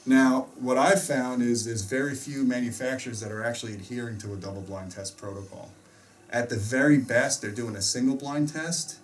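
A man's voice: medium pitch, fairly even intonation, normal speed. A middle-aged American man explaining things casually as a host, in an emphatic, opinionated way. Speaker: host and audio reviewer. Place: small indoor home theater room. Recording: distant mic in a room.

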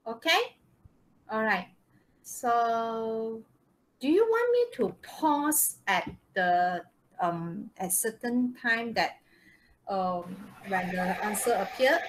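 Female online English teacher, Malaysian accent; unhurried, hesitant, conversational delivery. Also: a little high, big pitch swings, a little hiss or hum, low-quality recording. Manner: hesitant, checking in with students. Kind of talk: live online lesson, conversational. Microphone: video call.

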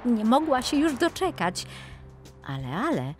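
A woman's voice: a little high, big pitch swings, unhurried, darkish tone, faint music behind. A woman narrating a children's story expressively as the storyteller.

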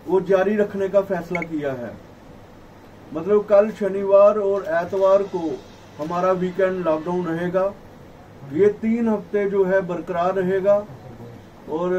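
A middle-aged man gives a formal, halting press conference statement as a federation spokesman, his voice slightly muffled by a mask. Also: high voice, warm tone. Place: small indoor office at a press conference. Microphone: cluster of table microphones, camera at some distance. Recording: distant mic, noisy room ambience.

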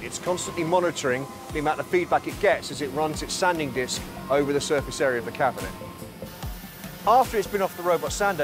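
A man in his forties with a slightly raised pitch walks viewers through a big factory, explaining steps clearly and evenly. His voice is recorded close on a lapel mic, with clear music running underneath. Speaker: factory guide. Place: large factory floor, indoors.

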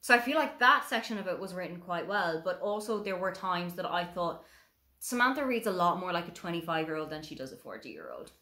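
A woman's voice: fairly low pitch, very animated, brisk, sharp tone. A woman in her thirties with an Irish accent, earnestly recommending books to camera from a room at home. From Ireland. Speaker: book reviewer. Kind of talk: casual book review to camera. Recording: roomy home recording.

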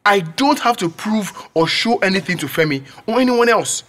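A man's voice: high voice, animated, moderate pace, fairly bright tone. A man with a Nigerian accent playing an earnest, stubborn young student in a radio drama. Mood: indignant. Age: young adult.

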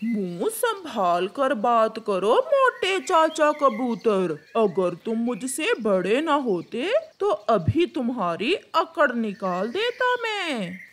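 A woman's voice: a little high, big pitch swings, slow, few pauses, a bit bright, a little hiss or hum, exaggerated voice. A woman voicing a lazy, surly crow husband who grumbles insolently in a children's cartoon.

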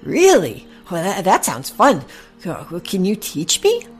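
A woman's voice: on the low side, huge pitch swings, takes it slowly, soft background music, heavily acted. A woman voicing an eager, plucky young male rat in a children's story, with folksy exclamations. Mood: curious.

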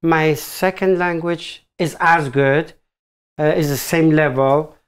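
A man's voice: fairly high pitch, fairly even intonation, slow pace, clean tone. German-accented man in broken English. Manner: earnest, broken English, laughs easily. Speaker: hairstylist guest. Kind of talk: casual chat. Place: small studio room. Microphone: standing, off the table mic.

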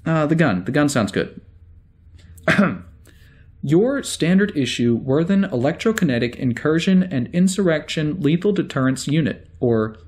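A man playing a wry, easily exasperated security officer in a sci-fi audio drama, talking in a halting, conversational way. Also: a little high, lively intonation, darkish tone. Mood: distracted.

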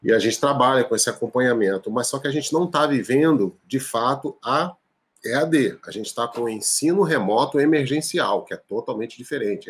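A man in his forties talks over a laptop webcam from a room at home, telling his story in a loose, expansive way. His voice sits at an ordinary middle pitch for a man, and he keeps dropping in little tag questions as he goes. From Rio de Janeiro, Brazil. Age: 40s. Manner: expansive, gestures freely, frequent tag questions. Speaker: teacher and musician, guest. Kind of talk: relaxed conversational interview answers. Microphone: video call, laptop webcam.

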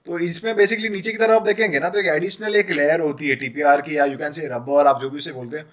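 A man's voice: on the high side, fairly even intonation, fairly quick. A man in his thirties with an Indian accent giving casual, chatty advice in a vlog, explaining things and mixing in English phrases. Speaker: footwear dealer and vlogger. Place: small indoor room. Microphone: camera at arm's length.

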